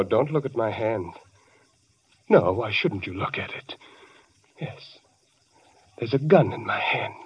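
A man's voice: on the low side, breathy edge, distant mic, dull audio, full character voice. An actor playing a frantic man guarding his cursed wife, in 1940s radio acting style. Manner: desperate, pleading, then wildly shouting.

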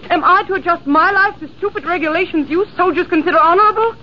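A woman's voice: very high-pitched, fast talker. An actress playing a proud, fiery young woman who answers with haughty indignation, in 1950s radio drama style. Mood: indignant. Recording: dull, hissy old radio broadcast.

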